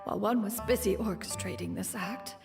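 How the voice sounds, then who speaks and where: A woman's voice: mid-range voice, brisk, vocal fry, close to an everyday voice. A woman voicing an ancient female crane spirit in human form, speaking in an archaic, formal manner. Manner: archaic formal speech, refers to herself as one. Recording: game audio with soft music.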